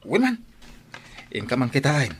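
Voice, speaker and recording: A man playing an excitable, curious drinking buddy in an audio drama. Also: medium pitch, measured pace. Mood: surprised, curious. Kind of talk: Philippine radio-style audio drama acting.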